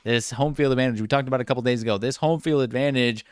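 A man's voice: mid-range voice, quick pace, clear, resonant tone, dry recording, clean studio sound. A man co-hosting a sports betting show, talking through his picks in a casual, rambling way and correcting himself. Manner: rambling, stumbles and self-corrects.

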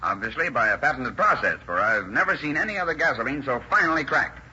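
An actor playing an earnest chief chemist, explaining emphatically in a 1930s radio advertising sketch. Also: male voice, medium pitch, animated, quick pace, bright, forceful tone, husky, full character voice. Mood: earnest, pleased. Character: earnest, enthusiastic male chief chemist explaining his discovery. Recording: dull old radio recording, faint noise.

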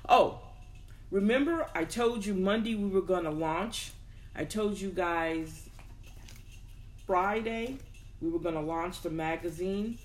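The speaker is a host talking casually and motivationally to followers. The voice sits at a medium pitch. The delivery is informal, thought out aloud, with words repeated to drive a point home. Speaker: host of a personal development show. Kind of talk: casual motivational talk to an audience.

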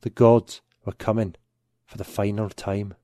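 Man narrating fiction in a soft, dry recording. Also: on the low side, level tone, a little slow, no room sound. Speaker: story narrator.

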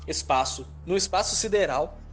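A man playing a cautious, authoritative physicist in an audio drama, brisk, serious and decisive. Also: a little high, faint music behind, natural voice. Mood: eager, questioning. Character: cautious, authoritative male physicist leading a secret project.

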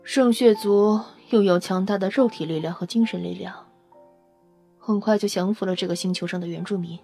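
A woman's voice: mid-range voice, moderate pace, gravelly, warm tone, soft background music, natural voice. A woman playing a brash, fiery, outspoken female vampire scientist in an audio drama, with an emphatic, informal manner. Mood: explaining. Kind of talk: Chinese audio drama acting.

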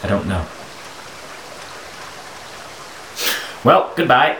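Guy playing lovesick young gentleman in period audio play, impassioned, pitch all over, echoey room w faint noise. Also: big pitch swings, brisk, lightly acted. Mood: hurt, subdued. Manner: impassioned, melodramatic, pleading. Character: lovesick, impulsive, wounded young gentleman suitor. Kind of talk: period audio drama acting. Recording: roomy echo with faint background noise.

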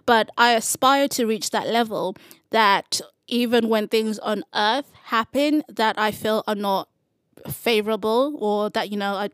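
A woman's voice: on the high side, unhurried, dry recording, studio quality. Earnest woman, reflective chat. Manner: earnest, reflective, with playful asides. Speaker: Bible study co-host. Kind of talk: casual Bible study chat.

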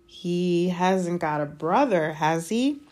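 A woman voicing an earnest, anxious boy wizard of about twelve in a storybook, speaking in a hurried, halting way. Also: on the low side, animated, a little mellow. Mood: puzzled. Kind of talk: dramatised storybook reading.

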